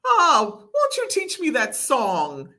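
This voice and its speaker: A woman voicing a greedy male dog trickster from a children's story, wheedling and bullying in an animated way. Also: high voice, takes it slowly, voice pushed up, full character voice. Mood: vain, teasing. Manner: wheedling turning to growled threats.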